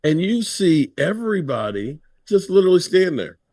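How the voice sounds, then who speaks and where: A man's voice: high-pitched, very animated, normal speed, darkish tone, no room sound. A man hosting a casual livestream chat, talking in a laid-back, conversational way. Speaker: livestream host.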